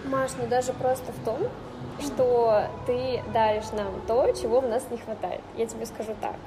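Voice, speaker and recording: A woman hosting a podcast, interviewing conversationally in an effusive, complimentary manner. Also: a little high. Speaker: podcast host. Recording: clearly noisy ambient background.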